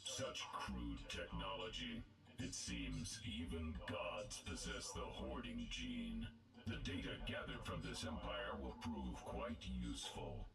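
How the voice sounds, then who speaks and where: A cold, arrogant machine villain from a video game with robotic processing. The very deep voice swings pompously between contempt and menace, echoing from far off under loud music. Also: male voice, very animated, slow pace, vocal fry, heavily acted. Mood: cold triumph. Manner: pompous, menacing, clinical phrasing. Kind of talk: video game character voice acting.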